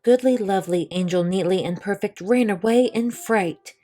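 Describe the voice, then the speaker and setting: A woman reading a children's picture book aloud as a storyteller, with expressive delivery. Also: medium pitch, moderate pace, soft background music.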